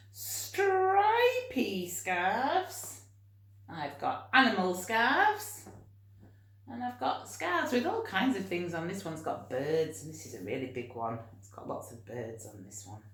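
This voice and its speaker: A woman teacher reading and talking to young children in an expressive, encouraging way. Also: lowish voice. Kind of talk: storytime read-aloud and teaching for young children. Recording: roomy, echoing home recording.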